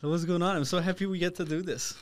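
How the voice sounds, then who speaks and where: A man hosting a casual interview, talking in halting phrases with many restarts. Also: high-pitched, fairly even intonation. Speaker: podcast host.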